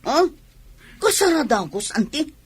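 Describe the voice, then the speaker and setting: An actress playing a young, evasive, exclaiming daughter in a radio drama, with an Ilocano accent. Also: female voice, sits high, sing-song, measured pace. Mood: flustered. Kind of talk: Filipino radio drama acting.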